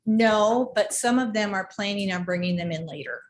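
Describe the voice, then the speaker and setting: Woman administrator speaking over clear noise. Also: medium pitch, expressive, normal speed. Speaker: school district administrator. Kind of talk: explaining at a public board meeting. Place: school board meeting room. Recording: clearly noisy meeting livestream with clicks.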